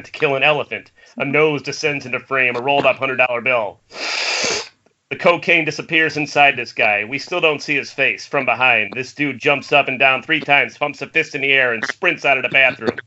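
A man's voice: medium pitch, bright, forceful tone. A man reading screenplay stage directions aloud as narrator of a table read. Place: at home on a group internet call. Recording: muffled, noisy internet call with clicks.

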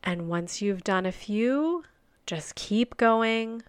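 A woman leads a guided meditation at an extremely unhurried pace, letting her phrases drift down into near-whispers. Her voice is smooth and resonant, recorded close and dry with no room sound. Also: mid-range voice, animated, dry recording. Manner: soft, slow and soothing, trailing off into near-whispers.

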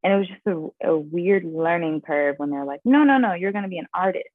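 Young actress on a tinny phone line. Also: female voice, lowish voice, brisk, no room sound. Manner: animated storyteller, laughs often, says "like" a lot. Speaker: guest actress. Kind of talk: casual interview chat.

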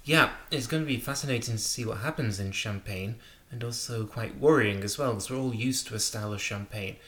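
Low-voiced man in an echoey room. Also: a bit bright. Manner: measured, explanatory, enthusiastic about wine. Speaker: wine show co-host. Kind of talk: conversational wine news discussion.

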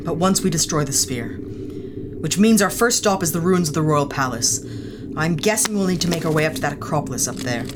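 A woman's voice: deep voice, animated, a little fast, background noise. A woman playing a tough, stubborn space investigator in a sci-fi audio drama, terse, blunt and sceptical.

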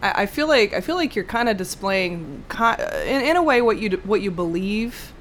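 A woman co-hosting a casual interview, asking thoughtful, gently probing questions. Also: mid-range voice, animated, moderate pace, a little hiss or hum. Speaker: co-host interviewer.

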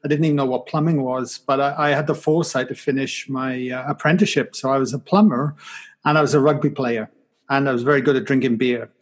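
A man's voice: medium pitch, fairly even intonation, quick pace. A man in his forties from Wales with a mild Welsh accent, a guest speaking reflectively in a casual interview. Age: 40s. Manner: reflective, self-deprecating, many restarts.

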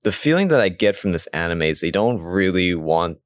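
A man's voice: deep voice, big pitch swings, moderate pace. A man co-hosting a podcast in casual discussion, thinking aloud with some hesitation. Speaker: podcast co-host.

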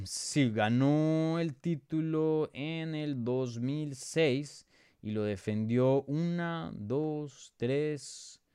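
Male MMA analyst, Bogotá accent, medium pitch, crisp tone, polite and conversational live-show delivery, thinking aloud. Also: darkish tone, no echo, studio quality. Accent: Colombian, Bogotá. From Bogotá, Colombia. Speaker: podcast host and MMA analyst. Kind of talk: casual live sports preview, answering viewer questions.

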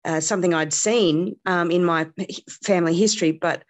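A woman's voice: on the low side, normal speed. A middle-aged woman, a comedian chatting casually as an interview guest, hesitant with many fillers. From Australia.

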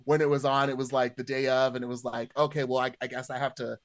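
A man's voice: medium pitch, level tone, quick pace, no echo, studio quality. A man in casual podcast conversation, chatty and hesitant, padding his thoughts with lots of filler words. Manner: chatty, hesitant, many "like" hedges. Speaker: podcast panelist.

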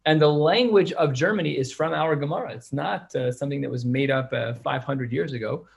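A man's voice: mid-range voice, brisk, creaky, slightly rough. A man teaching a class on a religious text, reading passages aloud and explaining them in casual English. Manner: reads Hebrew and Aramaic, then explains it in plain English. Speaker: Talmud teacher.

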